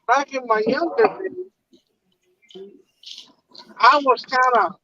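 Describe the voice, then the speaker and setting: High-pitched Southern-accented man, gravelly and lively. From Puerto Rico. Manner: storytelling, laughs often, stammers on restarts. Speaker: truck driver on a livestream. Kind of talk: casual video chat. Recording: dull lo-fi sound.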